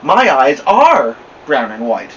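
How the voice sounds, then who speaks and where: Man playing a proud, loud little girl. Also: medium pitch, wildly swooping pitch, takes it slowly, recorded from a distance, a little hiss or hum, heavily acted, low-quality recording. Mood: matter-of-fact. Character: proud, attention-loving little preschool sister.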